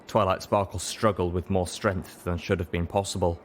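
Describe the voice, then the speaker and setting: A man reading a fan-fiction story aloud in steady, earnest narration. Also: low voice, normal speed. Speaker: audiobook reader.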